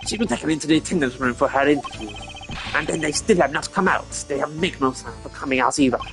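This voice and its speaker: A man's voice: fairly high pitch, lively intonation, fast. A man voicing a pompous, impatient male art dealer who speaks stilted, broken English. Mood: haughty. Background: game music.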